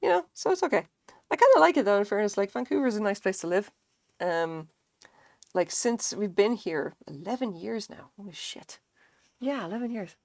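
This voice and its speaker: A woman's voice: medium pitch, very animated, normal speed, no echo, clean studio sound. A woman in her thirties with an Irish accent chatting casually on a live stream, rambling, swearing freely and laughing often. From Ireland. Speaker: crochet streamer.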